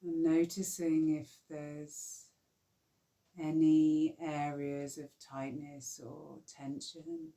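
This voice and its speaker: Woman, low-pitched, very slow, warm mellow tone, soothing meditation teacher. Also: flat delivery. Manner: slow, soft, soothing guidance with long pauses. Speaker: yoga nidra teacher. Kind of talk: guided relaxation meditation.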